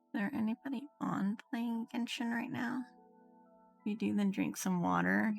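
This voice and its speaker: A woman's voice: mid-range voice, moderate pace, creaky. A woman game streamer chatting casually on a livestream, musing aloud in loose, half-finished thoughts. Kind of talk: casual livestream chat while gaming. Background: game music.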